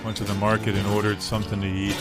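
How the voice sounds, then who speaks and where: A man playing a bewildered, paranoid drifter in a halting, musing comedy monologue over guitar music. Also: on the low side, completely flat delivery, lightly acted. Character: bewildered paranoid stranded man, waiting for secret signs. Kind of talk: absurdist monologue in improvised audio comedy. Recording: loud guitar music behind. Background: plucked guitar music.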